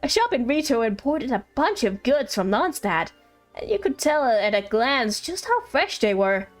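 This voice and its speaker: Cheerful servant character from a game, read by a woman streamer, high voice, friendly storytelling, music underneath. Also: sing-song, normal speed. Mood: cheerful, eager. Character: cheerful, friendly servant excited about a food discovery. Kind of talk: reading a game letter aloud in character.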